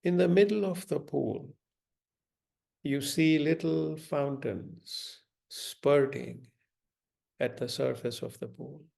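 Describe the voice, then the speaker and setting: A man leading a guided meditation in a gentle, deliberate manner, giving instructions with long pauses. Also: fairly high pitch, creaky.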